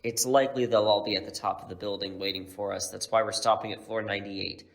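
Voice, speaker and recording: A man playing a protective superhero mentor in an audio drama, steady and in command as he gives instructions. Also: fairly low pitch, fast talker, vocal fry. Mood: calm, instructing.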